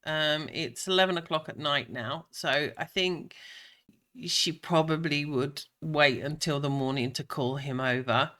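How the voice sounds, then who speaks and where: A voice, a bit croaky and stuffed up from a cold, talks over a life-sim game. The delivery is slow and unhurried, with a bright, clear edge. The speaker rambles on easily, with plenty of ums and restarts. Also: medium pitch. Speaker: Sims gameplay creator. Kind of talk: casual gameplay commentary.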